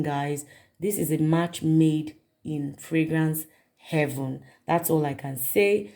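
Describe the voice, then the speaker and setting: A woman hosting her perfume channel, chatting warmly to viewers in a casual review, her voice raspy from a cold. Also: sits low. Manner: friendly, chatty, direct to viewers.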